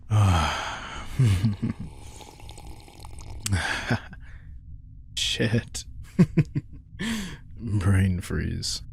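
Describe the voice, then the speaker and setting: A man playing a playful, smug vampire in an intimate roleplay audio drama, casual, sardonic and teasing, with a husky, gravelly voice. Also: on the low side, a little mellow, slight background noise, heavily acted. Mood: intimate, pleased. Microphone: close, dry studio microphone.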